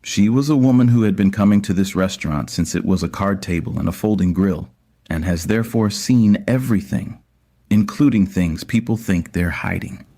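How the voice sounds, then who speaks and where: A man's voice: sits low, moderate pace, mellow. A man narrating a scripted audio story as its storyteller.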